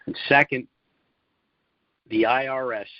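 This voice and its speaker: Muffled lo-fi call audio, guy with a lowish voice doing a measured sales pitch, dry sound, pauses for emphasis. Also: steady tone, dry recording. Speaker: presenter on a conference call. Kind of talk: sales presentation monologue. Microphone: conference call line. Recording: dull, lo-fi conference call audio.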